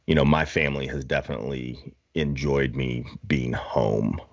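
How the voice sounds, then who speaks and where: A man's voice: a bass voice, steady tone, normal speed, creaky, no echo, highs cut off. A man chatting casually on a podcast, rambling and self-deprecating with jokes. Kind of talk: casual chat.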